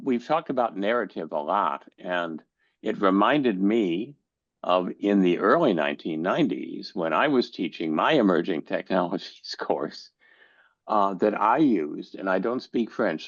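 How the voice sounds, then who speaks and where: An older man co-hosting a webinar, reminiscing in a relaxed, measured way. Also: sits low, dry recording. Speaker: co-host. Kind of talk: casual webinar chat. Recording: dry, dull lo-fi call audio.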